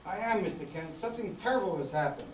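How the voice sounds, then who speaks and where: A woman's voice: on the low side, animated, fast, lightly acted. A woman playing an anxious, earnest young male superhero sidekick in an amateur radio drama. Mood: upset, urgent. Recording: far, echoey room, faint noise.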